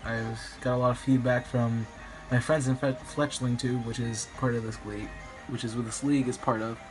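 Young man, slightly raspy, a bit sick, mid pitch, rambling game commentary, music behind. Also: level tone, brisk, rough recording. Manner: rambling, hesitant, many ums. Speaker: gaming YouTuber. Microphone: phone filming a screen.

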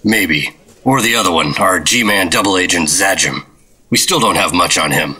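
An actor playing a terse, deadpan 1950s government secret agent in a noir radio drama. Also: male voice, low-pitched, normal speed, husky, full character voice. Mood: cool, serious.

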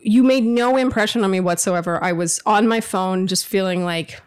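Low-voiced woman telling a story. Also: normal speed, smooth. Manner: animated storytelling with laughs and restarts. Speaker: podcast co-host. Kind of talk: casual chat. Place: home living room. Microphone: studio mic on boom arm.

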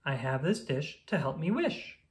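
A woman's voice: low-pitched, big pitch swings, normal speed, reverberant. A woman voicing a proud, wishful storybook creature in showy rhyming speech. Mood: proud, cheerful.

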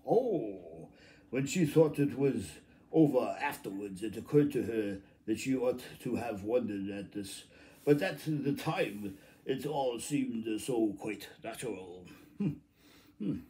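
A man in his fifties reading a children's story aloud informally, halting and stumbling, with muttered asides. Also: medium pitch, lively intonation, dark tone, low-quality recording. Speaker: amateur storybook reader. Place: small room at home, indoors.

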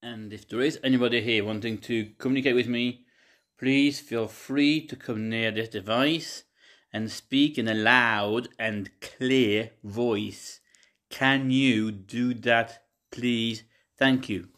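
A man casually demonstrating an app, talking in a deliberate, polite way. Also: medium pitch, fairly even intonation, a bit bright. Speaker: app tester running a ghost box session.

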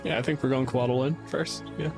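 A man's voice: mid-range voice, fairly even intonation, fairly quick, gravelly, music in the background. A man co-hosting a podcast in a casual, chatty style about tabletop games. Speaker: podcast co-host.

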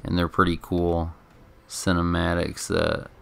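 A man's voice: sits low, completely flat delivery, unhurried, creaky, a bit bright. A man giving casual, offhand, rambling commentary on a video game. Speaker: gameplay commentator.

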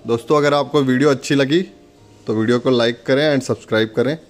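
Man, Indian accent, mid-pitched voice, casual instructional demo, step-by-step, faint music behind. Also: steady tone, fast talker. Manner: instructional, addresses viewers as friends. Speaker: product demonstrator.

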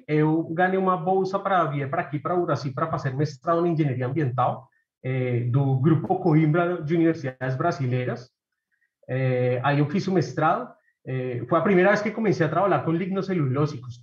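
A man in his thirties from Colombia with a Colombian Spanish accent, giving an academic talk on a video call with frequent fillers and restarts. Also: a little high. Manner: hesitant, many fillers and repeated words. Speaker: researcher presenting a talk. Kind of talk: academic presentation. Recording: echoey room, dull lo-fi sound.

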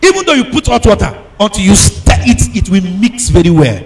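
A man preaching a charismatic sermon, emphatic and exhorting, repeating phrases, firing questions at his congregation and at times breaking into shouts. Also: high voice, wildly swooping pitch, brisk, creaky, soft background music. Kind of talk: charismatic sermon with call and response.

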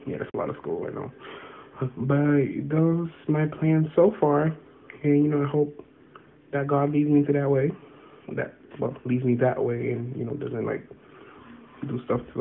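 A man's voice: mid-range voice, gravelly. A young man, a graduating senior, talking in a laid-back, easygoing way in a casual video message recorded on a phone held close. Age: young adult. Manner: laid-back, easygoing, laughs between thoughts. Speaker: graduating high school senior. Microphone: phone camera held close. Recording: phone-like, faint background noise.

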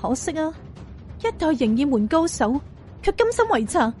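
Actress as feisty young Cantonese woman fighter: extremely high voice, bold, challenging, audiobook drama over music. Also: big pitch swings, moderate pace, darkish tone. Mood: shocked, scornful.

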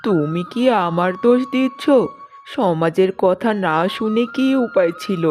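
A woman's voice: on the low side, expressive, unhurried, a little mellow. A woman voicing an earnest, worried middle-aged village mother in a cartoon story. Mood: defensive. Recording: music bed under the voice.

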